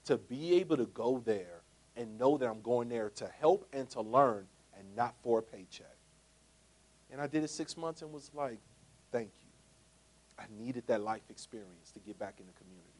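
A man in his forties giving a motivational sales talk to a live audience. He is jokey and asks the crowd rhetorical questions, swinging between loud punchy lines and quiet asides. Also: mid-range voice, expressive, moderate pace, low-quality recording. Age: 40s. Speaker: speaker pitching a business opportunity.

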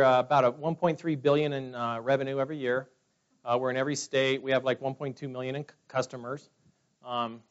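A man in his forties from California, telling personal stories to a meeting in a rambling, chatty way full of fillers. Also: a little high. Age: 40s. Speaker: speaker at a business meeting. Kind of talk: casual personal testimony to a room. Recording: dull lo-fi sound.

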